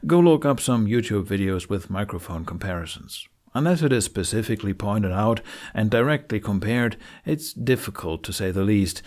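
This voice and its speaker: A man with a fairly low voice presents a how-to voice-over. He speaks in a frank, conversational way, following a script but keeping it informal. It is recorded on a budget USB microphone in a living room. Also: darkish tone. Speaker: tutorial presenter. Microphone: budget USB microphone on an arm.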